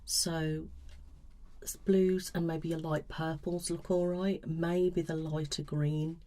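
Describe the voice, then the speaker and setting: A soft-spoken woman doing an ASMR consultation, gentle, a little hesitant and reassuring. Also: low voice, little pitch movement, slow. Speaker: ASMR practitioner, colour consultant.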